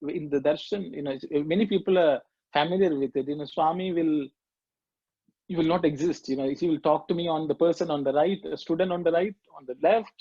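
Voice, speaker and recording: A middle-aged man with a Sri Lankan accent, recounting his past in a reflective, halting way as a guest speaker. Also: on the high side, warm tone, breathy edge, no room sound. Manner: reflective, halting with fillers and restarts. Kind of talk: personal testimony talk. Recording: muffled lo-fi dry audio.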